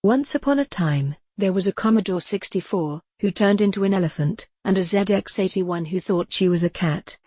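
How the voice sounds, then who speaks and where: A synthetic female text-to-speech voice playing a calm, wry retro computer who thinks she's a cat, deadpan in an audio comedy drama. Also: mid-range voice, normal speed, few pauses, breathy edge, natural voice. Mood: dry, whimsical.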